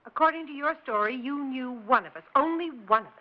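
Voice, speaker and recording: An actress playing a defensive, indignant suburban housewife in a 1960s radio drama, sharp-tongued and challenging. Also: female voice, high voice, fast talker, lightly acted. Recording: dull 1960s radio recording.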